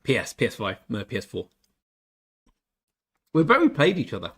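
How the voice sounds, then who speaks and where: A man's voice: mid-range voice, huge pitch swings, normal speed, fairly bright tone, breathy edge. A young English man chatting casually on a game stream, reading chat and joking about himself. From England. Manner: chatty, self-deprecating, reads chat aloud.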